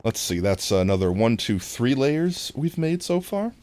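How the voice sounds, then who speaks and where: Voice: medium pitch, big pitch swings, a little slow, background noise. An American game streamer from New York chatting casually in a playful, jokey, giggly manner. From New York, United States. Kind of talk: casual gaming stream chat.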